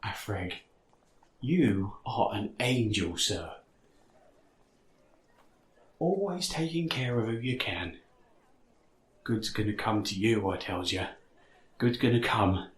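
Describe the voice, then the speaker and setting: A man's voice: on the low side, expressive, slow pace, long pauses, reverberant. An actor playing a humble, down-on-his-luck street man in an audio drama, apologetic, effusive and folksy. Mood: grateful.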